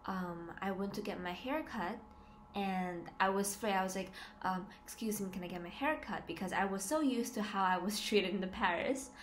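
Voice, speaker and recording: A woman's voice: medium pitch, moderate pace. A woman in her twenties talking casually and confessionally to a camera indoors, hesitant yet emphatic, often repeating her words. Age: 20s. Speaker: student living abroad, vlogger. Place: small plain room indoors. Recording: noisy home camera recording.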